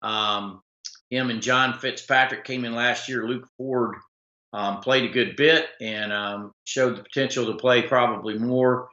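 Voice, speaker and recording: Rambling man with a bright, rough voice. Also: medium pitch, fairly even intonation, roomy sound, lo-fi sound. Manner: rambling, halting with many fillers and restarts. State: slightly rough voice. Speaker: sports talk show host. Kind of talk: casual live-stream commentary reading viewer comments.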